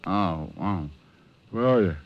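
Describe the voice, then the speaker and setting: Private eye: low, flippant, quick with wisecracks, short-fused, vintage radio drama sound. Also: male voice, lively intonation, dull audio, noisy background. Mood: wisecracking. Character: wisecracking, hot-tempered male private detective. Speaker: lead detective and narrator. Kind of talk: old-time radio detective acting with first-person narration. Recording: old radio drama recording, music behind.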